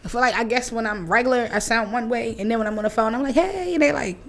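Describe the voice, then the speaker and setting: A woman co-hosting a podcast in casual banter, outspoken and quick to jump in. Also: fairly high pitch, fairly bright tone. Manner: outspoken, interjecting. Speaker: podcast co-host.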